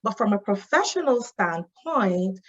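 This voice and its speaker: A woman's voice: mid-range voice, animated, measured pace, highs cut off. A woman from Barbados with a Barbadian accent, giving a webinar talk as a social worker. She explains point by point and keeps checking in with "right?" and "you know". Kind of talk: webinar presentation. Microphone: video call.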